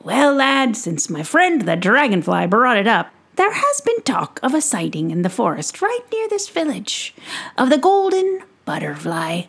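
A woman putting on a jolly male pixie peddler from a storybook, loud and showy, with a husky, gravelly grain. He stretches his sales patter out slowly and swings it high and low. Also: big pitch swings, exaggerated voice. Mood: teasing. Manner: booming, drawn-out salesman patter.

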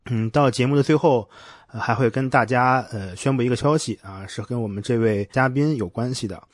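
A man hosting a podcast in a casual, conversational way, pausing now and then as he thinks through his words. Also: mid-range voice. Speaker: podcast host. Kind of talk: casual podcast hosting, reading listener messages.

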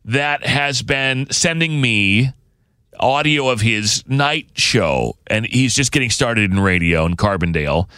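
A male radio host chats casually and without a script, often rambling and interrupting himself. His voice is bright with animated pitch, recorded close and dry. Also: a little slow, clear, resonant tone, dry recording. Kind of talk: casual unscripted podcast chat.

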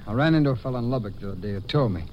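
Prodigal young ranch son male actor, defensive, Western drawl, quick delivery, muffled vintage radio drama. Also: mid-range voice, animated, close to an everyday voice. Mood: earnest, insistent. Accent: American Western drawl. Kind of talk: 1950s western radio acting. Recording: muffled, faintly noisy old radio recording.

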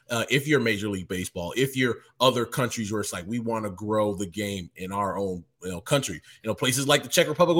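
A man's voice: mid-range voice, a bit bright. A man being interviewed as a baseball writer, talking casually and animatedly with lots of restarts.

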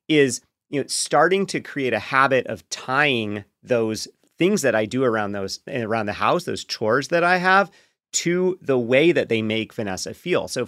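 A man speaking as a podcast guest, explaining casually and in a measured way through personal examples. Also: mid-range voice, a bit bright, no room sound, clean studio sound. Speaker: podcast guest, therapist's partner.

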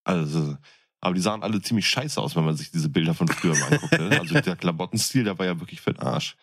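A man's voice: deep voice, lively intonation, fast talker. A man co-hosting a podcast, chatting casually in crude, slangy language. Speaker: podcast co-host. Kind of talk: casual chat.

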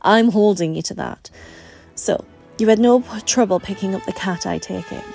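A woman's voice: mid-range voice, moderate pace, creaky, darkish tone, husky, soft background music. A woman voicing a blunt, teasing, bossy female co-worker in an audiobook story, speaking frankly and emphatically.

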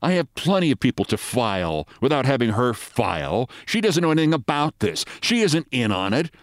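A man's voice: mid-range voice, big pitch swings, fairly quick, no room sound, studio quality, close to an everyday voice. A man playing a stubborn middle-aged husband who talks in a defensive, petulant, indignant way. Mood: annoyed, indignant.

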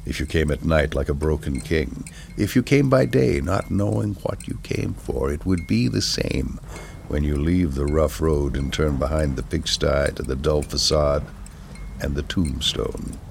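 Very deep, gravelly man reciting poetry. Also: a little hiss or hum. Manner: measured, deliberate phrasing with weighty pauses. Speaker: poetry reader.

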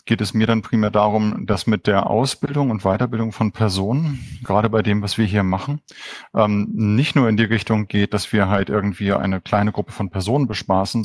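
A man's voice: on the low side, no echo. A man on a panel, a team coach, who speaks thoughtfully and builds his points step by step. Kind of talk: panel discussion.

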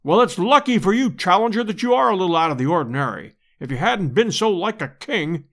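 A man voicing a bold, breezy gentleman adventurer in an audiobook, speaking in clipped upper-class slang. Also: high voice, lively intonation, fairly quick. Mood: teasing. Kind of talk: dramatised audiobook character reading.

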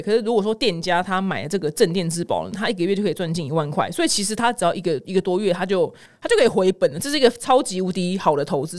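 A middle-aged woman with a Taiwanese Mandarin accent hosts casual news commentary with personal anecdotes, chatty, colloquial and exclamatory. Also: mid-range voice. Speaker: podcast host.

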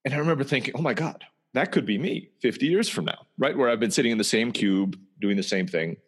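A man who grew up in Turkey, telling his life story in a relaxed, conversational way, sometimes restarting and repeating words. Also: medium pitch, fast talker, breathy edge. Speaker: interview guest. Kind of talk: storytelling personal history.

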